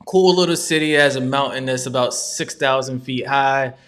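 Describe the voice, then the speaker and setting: A man's voice: medium pitch, moderate pace, fairly bright tone. A man from Georgia hosting a live question-and-answer stream, explaining updates in an upbeat, conversational way with frequent ums. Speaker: host.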